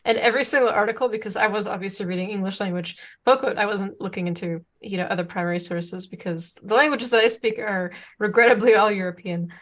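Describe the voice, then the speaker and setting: A woman's voice: medium pitch, fairly even intonation, fast talker, few pauses. Rambling woman podcast co-host. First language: Serbo-Croatian. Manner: rambling, many restarts and fillers. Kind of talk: casual chat.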